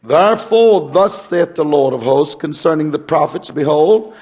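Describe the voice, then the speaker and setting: A hoarse male pastor, talking folksy and rambling, then reading scripture in a rising preaching cadence. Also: fairly high pitch, animated, moderate pace, darkish tone.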